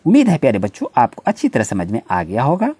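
A tutor with an Indian accent and a husky, very swooping voice, recorded close and dry. The delivery is explanatory, speaking straight to students while reading out questions and answers, and it gets halting on English passages. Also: medium pitch, wildly swooping pitch, mellow, no room sound. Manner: addresses students directly, repetitive explanatory phrasing.

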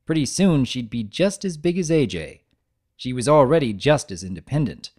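A man's voice: mid-range voice, lively intonation, moderate pace, darkish tone. A man reading a story aloud as narrator, in a scripted dramatic style.

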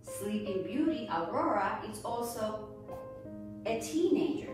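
Distant, very echoey recording, woman ballet teacher, highish voice, deliberate encouraging instruction. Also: expressive. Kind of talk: instructional dance lesson. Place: indoors, hard-floored home studio room. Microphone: distant camera microphone. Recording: echoey room, distant mic, music behind.

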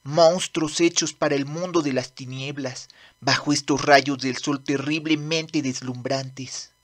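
A woman's voice: extremely low pitch, steady tone, measured pace. A woman voicing a cocky young demon prince in a manga dub, brash and commanding. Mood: gloomy. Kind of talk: Spanish manga dub acting.

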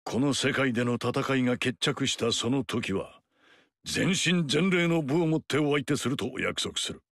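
An actor playing a dignified samurai general in a video game, speaking with formal, measured courtesy. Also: male voice, medium pitch, fairly bright tone, no room sound, clean studio sound. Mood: earnest. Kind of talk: video game story dialogue.

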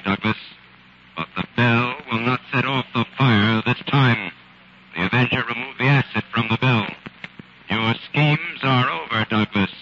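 Casting: male actor for stern 1940s radio crime fighter, unhurried and solemn, dull old recording. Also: mid-range voice, close to an everyday voice.

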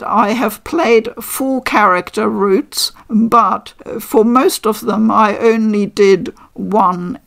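An older woman hosting a casual unboxing review from her living room, chatty and measured with frequent pauses. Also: medium pitch, creaky. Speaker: game reviewer host.

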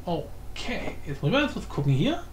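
A man's voice: high-pitched, sing-song, normal speed. Man muttering in a roomy, echoey recording. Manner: muttering to himself, slangy. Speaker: tutorial host and hobby game programmer. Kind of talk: casual screen-recorded coding tutorial, thinking aloud. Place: indoor room, voice over screen capture. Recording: roomy echo, faint background noise.

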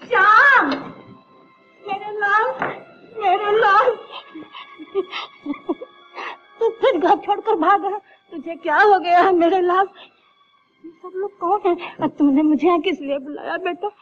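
A woman's voice: extremely high pitch, moderate pace, faint music behind. A tearful, pleading village mother. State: crying. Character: poor village mother pleading with her son. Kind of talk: old film drama. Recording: dull old film soundtrack.

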